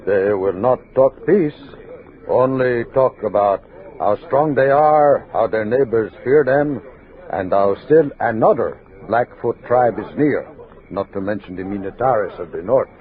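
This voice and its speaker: An actor playing a loyal, deferential frontier scout and interpreter in a western radio drama, with hesitant, deliberate phrasing. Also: male voice, lowish voice, phone-quality sound, lightly acted. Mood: grave, reporting. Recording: band-limited 1960s radio recording.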